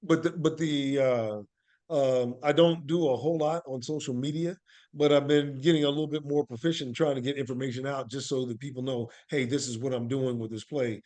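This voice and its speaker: An American man speaking as an interview guest, telling stories in an animated, emphatic conversational way and often checking that the listener follows. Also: mid-range voice, fairly quick. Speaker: guest, actor and playwright.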